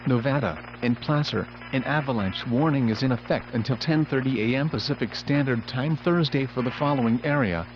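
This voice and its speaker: A synthetic male voice reading scripted emergency weather bulletins as an automated radio announcer, in a formal, impersonal, measured way. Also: mid-range voice. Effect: weather radio broadcast. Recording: muffled noisy weather radio broadcast, humming.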